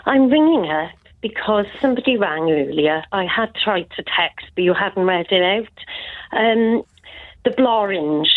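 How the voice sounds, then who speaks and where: A woman's voice: medium pitch, animated, unhurried. A Welsh woman calling a radio phone-in, chatty and mock-indignant, giggling as she talks. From Wales. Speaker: caller. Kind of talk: phone-in call. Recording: tinny phone line.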